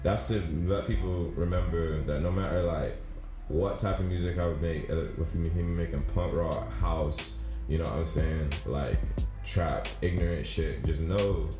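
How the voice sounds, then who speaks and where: A man's voice: deep voice, moderate pace. A young man, a rapper chatting casually as a guest, laid-back and slangy. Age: 20s. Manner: laid-back, slangy, repeats "you know what I'm saying". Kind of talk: casual interview chat. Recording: echoey studio with music behind.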